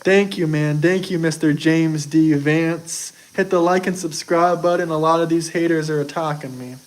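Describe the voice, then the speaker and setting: Young man, from the US, higher-pitched, boastful, defensive, casual stream talk. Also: steady tone, moderate pace. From United States. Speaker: streamer answering chat. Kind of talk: casual live chat.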